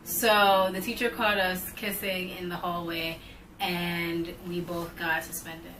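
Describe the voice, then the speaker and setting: A woman's voice: fairly low pitch, slow, a little hiss or hum, rough recording. A young woman co-hosting a couple's vlog, telling a storytime casually and animatedly, with lots of "like". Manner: animated, heavy use of "like", rising excitement. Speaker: vlog co-host.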